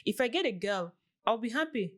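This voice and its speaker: A woman's voice: on the high side, lively intonation, fairly quick. A woman in her late twenties from Cameroon, a guest giving earnest, casual interview answers and acting out little quoted examples as she explains. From southwest Cameroon. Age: late 20s.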